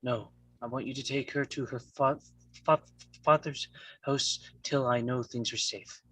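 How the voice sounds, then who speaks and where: A man playing a young mafia son in a live script read, stammering heavily and repeating syllables in a husky voice. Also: medium pitch, fairly even intonation, unhurried, bright, forceful tone, dry recording, low-quality recording. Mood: guarded. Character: Michael, young mafia son giving halting orders.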